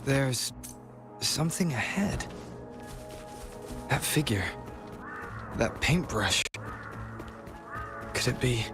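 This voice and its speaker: A man's voice: mid-range voice, animated, extremely slow, long pauses. An actor playing a composed young male hero in a video game, musing tersely to himself. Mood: curious, wary. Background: game music.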